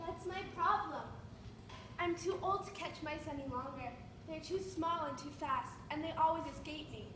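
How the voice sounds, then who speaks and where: Girl as a tired, refined old cat, high voice, far and noisy stage sound. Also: normal speed, natural voice. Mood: weary. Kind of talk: school stage play acting. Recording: echoey hall, distant mic, noisy.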